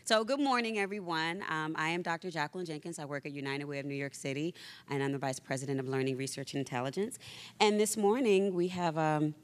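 A woman in her forties moderating a panel on a theater stage, speaking into a handheld mic with a loud, conversational voice and frequent fillers. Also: low-pitched, quick pace.

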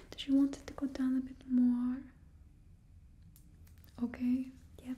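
Woman doing a villain's stylist, put-on Russian accent, close whisper, slow, mellow, blunt but gentle, ASMR. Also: on the high side, breathy edge. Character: blunt female stylist for supervillains. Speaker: ASMR roleplay performer. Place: indoors, small studio with painted backdrop. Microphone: close ASMR microphone.

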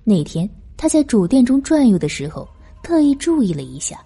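A woman narrates a novel from a script. Her voice sits a little high but is very soft and dark, with a breathy husk. She reads as an audiobook narrator. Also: sing-song, brisk, faint music behind.

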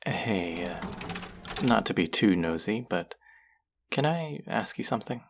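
A man's voice: fairly low pitch, animated, unhurried, creaky, background noise. A man voicing a friendly, teasing young male barista in an audio roleplay, chatty and playful toward a regular customer.

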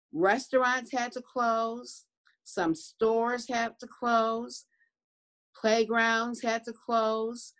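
Casting: woman in her 60s, preschool educator, unhurried, medium pitch, simple emphatic explanations. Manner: deliberate, repetitive, emphatic. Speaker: early learning center educator. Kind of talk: simple explanation addressed to young children. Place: room at home, indoors.

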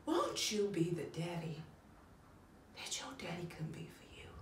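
A woman's voice: on the low side, huge pitch swings, measured pace. A woman acting a dramatic stage monologue as an embittered, wounded young mother, raw and emotional, dropping into near-whispers. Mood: angry, pleading. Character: embittered, wounded young mother confronting her child's absent father. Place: echoey room. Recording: echoey room, distant mic, faint noise.

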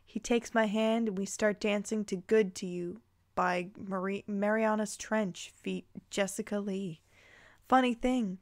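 A woman's voice: medium pitch, no room sound, studio quality. A woman reading fan fiction aloud, dropping casual, incredulous, playful asides as she goes.

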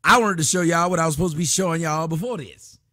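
A man's voice: a little high, fast, bright, forceful tone, dry recording, clean studio sound. A man hosting a casual livestream from a small room at his desk, loosely reading donations and chat aloud with plenty of profanity. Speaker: livestream host.

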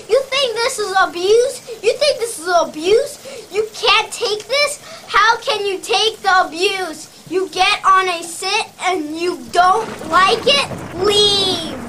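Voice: extremely high pitch, bright, forceful tone, full character voice. A child playing a ruthless, bullying sales boss, barking taunts emphatically in a theatrical puppet-parody monologue. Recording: distant mic, faint background noise.

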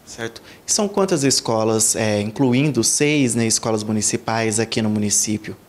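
A man's voice: on the low side, normal speed. A young male TV reporter asking formal, prepared questions in an interview, indoors on a handheld mic.

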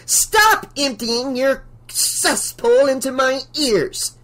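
A narrator voicing a haughty, spiteful older rich woman in an audiobook, with shrill, imperious, scornful delivery. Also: animated, measured pace, a bit bright. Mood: shrieking fury.

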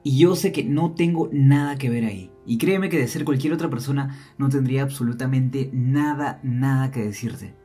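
A man's voice: medium pitch, moderate pace, few pauses, warm tone, breathy edge, faint music behind, lightly acted. A man playing a protective, stubborn young man in an ASMR audio drama, insistent and admonishing. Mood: exasperated, earnest.

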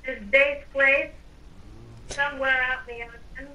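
A woman's voice: high voice, level tone, measured pace, bright, forceful tone. A woman voicing a sly, coaxing evil overlord with a theatrical, falsely sweet manner in a game roleplay. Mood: scheming. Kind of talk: improvised Minecraft roleplay. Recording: noisy, distant mic with game ambience.

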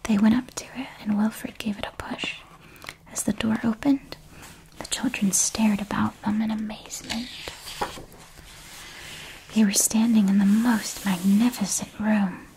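A woman reading a children's story aloud in a soft, calm ASMR whisper. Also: mid-range voice, slight background noise, rough recording. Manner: slow, calm and hushed.

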